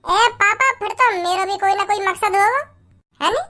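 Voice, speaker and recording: Squeaky, cheeky cartoon boy. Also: normal speed, sharp tone, full character voice. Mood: indignant. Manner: whiny, argumentative, repetitive. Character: cheeky, aggrieved young son in a comedy cartoon. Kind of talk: comedy cartoon dialogue.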